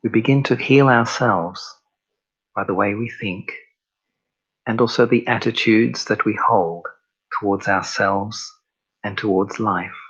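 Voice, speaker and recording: A man narrating a scripted guided meditation in a measured, solemn way, one short phrase at a time, on a dull recording. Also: on the low side, fairly bright tone, breathy edge.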